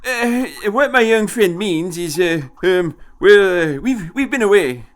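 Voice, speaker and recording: A man's voice: high voice, animated, a little slow, voice pushed up. A man voicing an irritable, imperious old man time-traveller in an audio story, hesitant and repeating himself. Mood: flustered.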